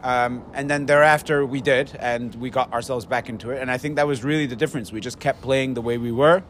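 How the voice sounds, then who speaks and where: Measured man over clear traffic noise. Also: medium pitch, steady tone, fairly bright tone. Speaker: amateur football captain. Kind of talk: casual post-match analysis.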